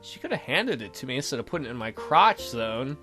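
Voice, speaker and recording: A young man reads a visual novel aloud for a let's play. His voice is bright and highly animated, and he keeps breaking off into playful asides. Faint music plays behind him. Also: fast talker. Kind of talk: reading visual novel aloud with joking commentary.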